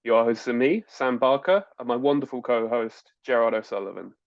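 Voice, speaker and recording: A man's voice: medium pitch, fairly quick, gravelly, no echo. Chatty male podcast host, dull sound. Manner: friendly, chatty, restating guest's answers. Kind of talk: podcast interview.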